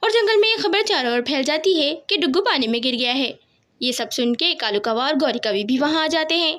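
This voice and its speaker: A woman with a high-pitched voice narrates a children's tale. She works as the storyteller, reading from a script. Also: animated, fairly quick, fairly bright tone.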